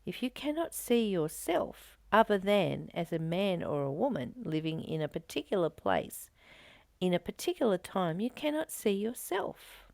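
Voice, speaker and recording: A woman reading a spiritual text slowly and meditatively, adding her own comments and dropping into soft whispers to stress a point. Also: fairly low pitch, big pitch swings, gravelly, no echo.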